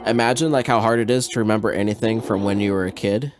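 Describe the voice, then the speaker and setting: A man's voice: on the low side, fast. A man chatting casually as a podcast host, commenting on an old radio play. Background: loud music and old radio drama playback.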